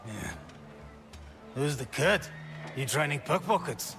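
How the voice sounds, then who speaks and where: Grumpy sarcastic dwarf, dry and insolent, video game scene, music clearly behind. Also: male voice, fairly high pitch, lively intonation, takes it slowly, fairly bright tone. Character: surly, sarcastic dwarf craftsman, defiant. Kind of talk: cinematic video game acting.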